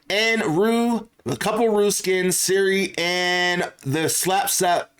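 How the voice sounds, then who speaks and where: A man hosts a casual opinion video in a voice that sits a little higher than average for men. He talks in an informal commentary style and repeats short phrases for emphasis. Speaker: video creator and host. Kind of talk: casual opinion commentary on gaming news, partly reading aloud.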